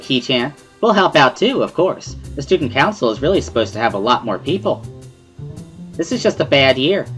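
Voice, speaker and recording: A man voicing a bubbly, playful, energetic teenage schoolgirl in an amateur visual novel reading. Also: mid-range voice. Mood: playful, cheery. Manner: playful, cheery, exclaiming. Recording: music behind the voice.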